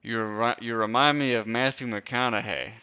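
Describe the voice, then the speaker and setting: A man's voice: mid-range voice, fairly even intonation, normal speed, pushed and bright, clean tone, dull audio. A young man from Wisconsin hosting a casual live-stream Q&A, chatting in a laid-back, slangy way and laughing often. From Wisconsin, USA. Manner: laid-back slangy chat, lots of "dude" and "bro", frequent laughs. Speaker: host.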